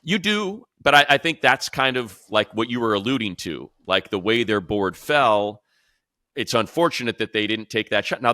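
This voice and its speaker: Male sports talk host, forceful bright tone, fairly low pitch, casual and opinionated. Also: no echo, clean studio sound.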